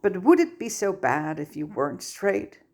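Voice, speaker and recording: A woman plays a male schoolteacher in a storybook reading, with a low voice. He is firm and measured, stern yet fond, with dry patience. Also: very animated, a little mellow. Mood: fond, amused. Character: stern but fond adult male teacher.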